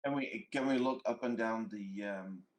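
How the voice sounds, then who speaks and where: A man's voice: on the low side, normal speed. A man talking casually as a player in a tabletop game.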